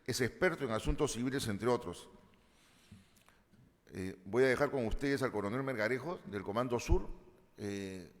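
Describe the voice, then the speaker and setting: A man introducing a conference session in a formal, measured, ceremonious way, with the odd filler. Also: mid-range voice, darkish tone. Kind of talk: formal prepared address. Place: large conference hall. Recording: long hall reverb.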